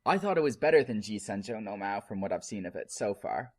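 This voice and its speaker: A man's voice: fairly low pitch, lively intonation, fairly quick, darkish tone, dry recording, studio quality. A man from Canada giving casual review-style opinions as a guest on a podcast.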